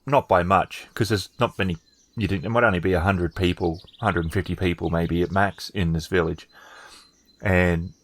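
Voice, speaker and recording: A man narrating a tabletop game as game master, improvising with halting, hesitant phrasing. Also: low-pitched, fairly bright tone, no room sound.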